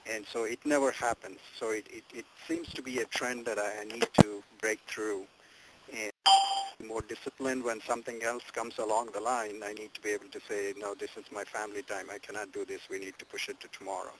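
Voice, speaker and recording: A man's voice: lowish voice, level tone, brisk. A man answering a coach on a group call, hesitant and searching for words, often repeating himself. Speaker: coaching client, a working parent. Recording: noisy phone conference line with clicks.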